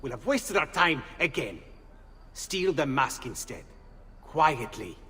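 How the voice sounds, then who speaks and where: An actor playing a shrewd, wry ally in a game cutscene, giving firm counsel. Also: male voice, medium pitch, very animated, takes it slowly, sharp tone, husky, in a large hall, exaggerated voice. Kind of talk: video game cutscene acting.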